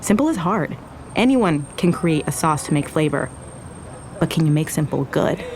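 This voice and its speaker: An actress playing a blunt, teasing young Mexican-American restaurateur in a naturalistic audio drama. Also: female voice, sits low, expressive, moderate pace, crowd noise. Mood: passionate. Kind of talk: naturalistic fiction podcast acting.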